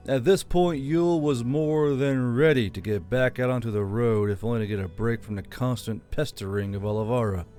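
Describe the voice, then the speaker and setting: A man's voice: medium pitch, darkish tone. A man reading a fantasy novel aloud as a scripted audiobook narrator. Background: background music.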